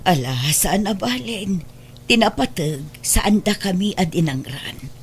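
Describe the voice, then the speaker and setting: A woman's voice: mid-range voice, animated, a little slow, a little hiss or hum. A woman playing a poor, humble, anxious mother in a radio drama, plaintive and hesitant, with an Ilocano Filipino accent.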